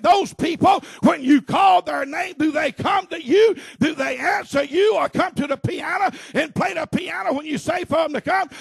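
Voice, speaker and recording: A man with a Southern accent preaching at a church convention, rising from quiet talk to shouted preaching and calling out "come on" and "help me" to the congregation. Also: extremely high pitch, creaky, no room sound. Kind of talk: Pentecostal revival sermon.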